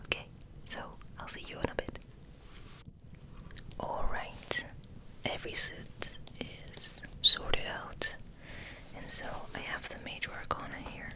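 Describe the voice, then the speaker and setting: A woman hosting ASMR in a soft, gentle whispered ramble, hushed and unhurried, sometimes softly repeating words. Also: rough recording. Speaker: ASMR host.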